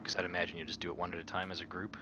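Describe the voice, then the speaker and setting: A man's voice: sits low, level tone, very fast, music in the background. A man playing a tabletop game, talking through it casually in a blunt, argumentative way.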